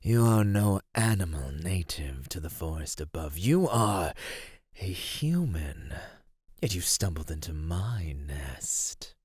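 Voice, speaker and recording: Slow, deep, husky monster. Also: male voice, big pitch swings, darkish tone, dry recording, clean studio sound, heavily acted. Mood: menacing curiosity. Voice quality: husky, breathy, low. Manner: slow, formal, archaic, teasing menace. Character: ancient menacing male cave monster, predatory, teasing, courtly. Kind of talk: ASMR roleplay monologue.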